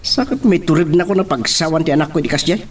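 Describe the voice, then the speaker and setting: A man's voice: fairly high pitch, fast talker, natural voice. A man with a Filipino accent acting an irritable, proud father in a family radio drama. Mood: irritated, sulky.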